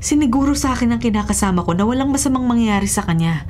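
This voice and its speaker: A woman's voice: a little high, lively intonation, quick pace, darkish tone, slight background noise, close to an everyday voice. A woman with a Filipino accent playing an inmate's anxious but confident partner in a radio drama. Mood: earnest, defiant. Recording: faint music behind the voice.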